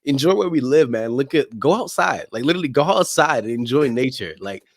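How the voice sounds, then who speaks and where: A man's voice: mid-range voice, expressive, fairly quick, no room sound, studio quality. A man speaking as a podcast guest, telling things casually and emphatically, repeating phrases and often checking in with "right?". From Nigerian heritage, spent childhood time in South London. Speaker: podcast guest, soccer media figure. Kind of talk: casual conversational storytelling.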